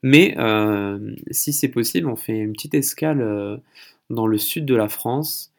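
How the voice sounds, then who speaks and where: A man's voice: mid-range voice, measured pace, gravelly. A man hosting a casual conversational interview, speaking in a rambling, hesitant way. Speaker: podcast host interviewing a guest.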